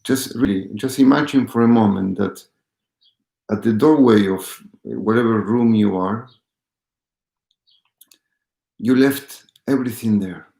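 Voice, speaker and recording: A man leading a live guided meditation as a teacher, speaking with pauses and gentle repetition. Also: on the low side, gravelly. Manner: slow, pausing, gentle repetition. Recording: roomy echo.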